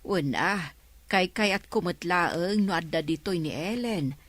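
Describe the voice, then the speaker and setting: A woman's voice: fairly low pitch, animated, measured pace, dry recording. A woman with an Ilocano accent acting a concerned, questioning middle-aged village mother in a radio drama. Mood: wistful, approving.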